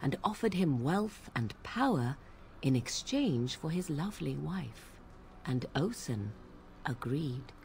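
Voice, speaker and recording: Casting: sardonic young game witch, lowish voice, very varied intonation, archaic and measured storyteller's diction. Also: big pitch swings, a little mellow, a little hiss or hum, exaggerated voice. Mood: measured storytelling. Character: aloof, sardonic young witch of the wilds, raised an outcast apostate. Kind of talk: dramatic video game voice acting.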